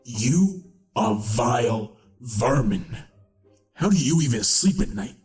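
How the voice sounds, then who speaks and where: A man acts out a melodramatic male changeling, appalled and judgmental, for an audio comedy. He speaks very deliberately and over-enunciates, with music behind him. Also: mid-range voice, animated, a little mellow. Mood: disgusted. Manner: over-enunciated, drawn-out, theatrical. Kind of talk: audio-drama acting of a comedy story.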